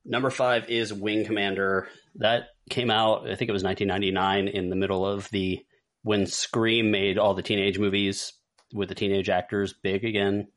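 Low-pitched man chatting on a podcast. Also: level tone, normal speed. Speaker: podcast co-host. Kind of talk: casual chat, film ranking.

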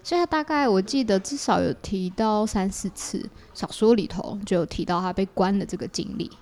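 A woman's voice: mid-range voice, a little fast, a little mellow. Woman, Taiwanese Mandarin accent, mid-range voice, casual podcast guest, explanatory, self-correcting. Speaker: podcast guest, artist and creator of an audio drama.

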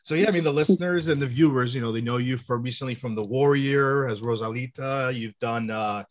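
A man's voice: mid-range voice, a little fast, no echo. Man, podcast host, easygoing curious interviewer, mid-range voice. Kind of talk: casual interview.

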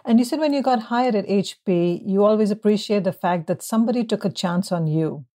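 A woman's voice: medium pitch, brisk, mellow. A woman interviewing a guest, recapping his story and turning it into questions.

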